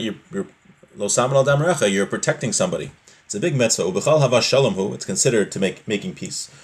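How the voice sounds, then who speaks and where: Man teaching religious law, plain-spoken and explanatory. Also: medium pitch. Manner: explanatory, plain-spoken, repeats points in simple everyday examples. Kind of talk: informal religious text lesson, reading aloud and explaining.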